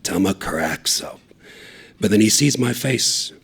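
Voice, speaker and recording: A man reading a short story aloud, acting out the voices of the characters, loud on some lines and hushed on others. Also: on the low side, slow pace, vocal fry, breathy edge. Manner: lively, acts out the dialogue, shouting some lines and dropping to a hush on others.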